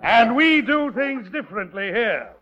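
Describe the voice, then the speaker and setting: A man's voice: very high voice, very animated, a little slow, heavy background noise, heavily acted. An actor playing a pompous, domineering burgomaster and father in old radio operetta style, grandly formal and mocking. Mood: gloating. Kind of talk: 1940s radio operetta acting. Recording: dull vintage radio broadcast recording.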